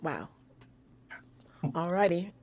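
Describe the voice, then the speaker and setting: A woman hosting an interview show, asking questions in an excitable, bubbly way. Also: lowish voice, drawn-out words. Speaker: show host. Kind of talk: casual interview chat.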